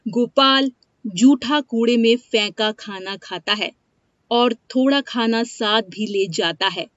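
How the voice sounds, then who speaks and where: A woman's voice: on the high side, slow pace, dry recording. A woman reading a scripted moral story as its narrator. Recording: dry, dull lo-fi studio sound.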